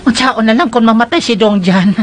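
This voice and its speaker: A man's voice: very high-pitched, a little fast. A man playing a jittery, scatterbrained household helper with a Cebuano accent, exclaiming and muttering to himself in comic radio drama style. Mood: panicky, fretful. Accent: Cebuano Filipino. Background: background music.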